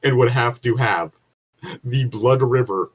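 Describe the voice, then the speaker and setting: A man co-hosting a podcast, reading aloud with casual, joking commentary in a rambling, nitpicking way as he thinks out loud. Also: mid-range voice, unhurried, slightly rough, low-quality recording. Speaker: podcast co-host.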